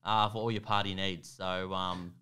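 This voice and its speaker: Give me a loud, easygoing young Australian man with a bright voice, guesting on a podcast. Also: low voice, flat delivery, normal speed, smooth. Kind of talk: casual podcast chat.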